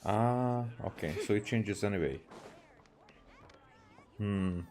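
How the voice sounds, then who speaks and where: A man from Hungary with a Hungarian accent, casually streaming a game and talking haltingly with many fillers and restarts. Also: lowish voice, darkish tone, slight background noise. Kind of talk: casual livestream commentary, reading game dialogue aloud.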